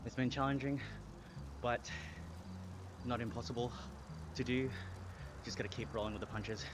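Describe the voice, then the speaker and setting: A man's voice: mid-range voice, fairly even intonation, normal speed, very noisy. A man in his thirties with an Australian accent, chatting casually on a live stream while riding an indoor bike trainer, a little out of breath. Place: home indoor cycling setup.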